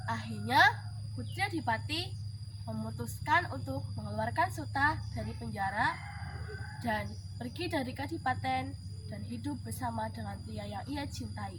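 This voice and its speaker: Female storyteller, high voice, expressive folk-tale narration, deliberate dramatic phrasing, noticeable background noise. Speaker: storyteller in a school storytelling contest. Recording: clearly noisy background.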